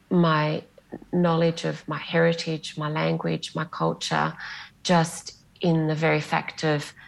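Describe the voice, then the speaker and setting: A middle-aged Australian woman whose first language was Greek, speaking as a novelist guest on a podcast in a measured, reflective way. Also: sits low, vocal fry, poor audio. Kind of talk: podcast interview.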